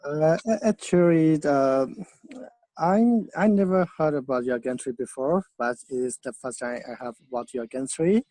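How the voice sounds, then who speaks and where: A participant in an online chat, speaking haltingly and searching for words. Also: medium pitch, mellow, poor audio.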